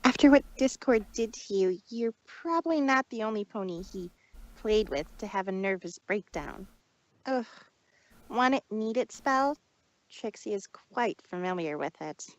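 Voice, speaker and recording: A woman's voice: medium pitch, animated, unhurried, lightly acted, poor audio. A woman playing a proud but wounded female pony magician who speaks haughtily of herself in the third person, in a fan fiction audio drama. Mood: curious, unnerved. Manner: haughty, self-referential third-person speech.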